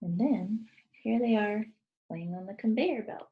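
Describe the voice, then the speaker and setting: A woman reading a children's picture book aloud expressively, pausing to put questions to listeners and point out pictures. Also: medium pitch, phone-quality sound. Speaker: storybook reader, teacher.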